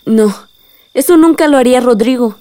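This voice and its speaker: A woman's voice: high-pitched, moderate pace, mellow, natural voice. A young Mexican woman acting a trusting, lovestruck daughter in a radio soap opera. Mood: defensive. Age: young adult.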